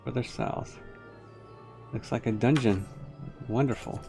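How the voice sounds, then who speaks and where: A man's voice: mid-range voice, unhurried, vocal fry, dark tone, faint music behind. A man giving live gameplay commentary, muttering to himself as he plays and reads quest text. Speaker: game streamer.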